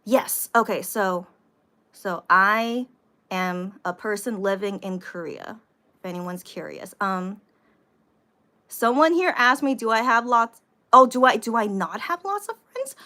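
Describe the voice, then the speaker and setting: An American woman hosting a casual livestream, rambling to viewers and repeating herself with animated emphasis, sounding somewhat tired. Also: mid-range voice, a little slow. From United States. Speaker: livestream host, vlogger.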